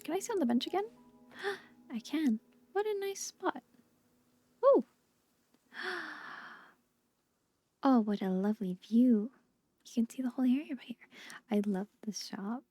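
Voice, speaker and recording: Female game streamer, high voice, chatty and exclamatory, faint music underneath. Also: a little mellow, no room sound. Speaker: video game streamer reading dialogue aloud. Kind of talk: chatty let's-play commentary.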